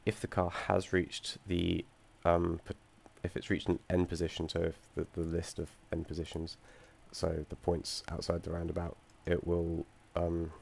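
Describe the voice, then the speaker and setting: A young man with a low, gravelly voice, audibly croaky from being under the weather. He talks through his game's code in a halting way, full of ums and self-corrections, with the odd dry joke dropped in. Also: a little mellow. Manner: hesitant, many ums and restarts, dry asides. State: croaky from feeling unwell. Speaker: student presenting his game project. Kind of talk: screen-recorded code walkthrough.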